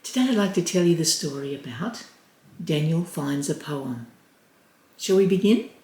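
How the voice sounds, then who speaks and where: A woman reading a children's picture book aloud as storyteller, in a gentle, clear way. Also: sits low, lively intonation, normal speed. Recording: roomy echo.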